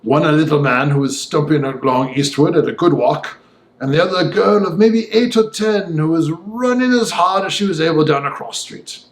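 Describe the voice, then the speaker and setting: Guy acting as old-time gentleman telling a grim story, vivid and emphatic, audiobook style, echoey. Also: fairly high pitch, moderate pace, natural voice. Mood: indignant. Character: gentleman recounting a disturbing night, indignant and vivid. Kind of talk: audiobook dialogue monologue.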